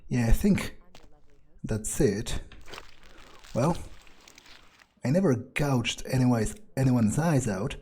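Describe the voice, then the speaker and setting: A man's voice: medium pitch, animated, slow pace, pauses often, mellow, husky. A man improvising an in-character gameplay monologue as a sardonic vampire, musing hesitantly and casually to himself with dry, morbid humor. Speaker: let's player role-playing a character.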